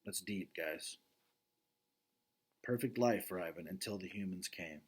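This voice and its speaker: A man, a father, reading a children's novel aloud and pausing to chat with his listeners about the story. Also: sits low, husky. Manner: expressive reading, breaks off to comment directly to listeners.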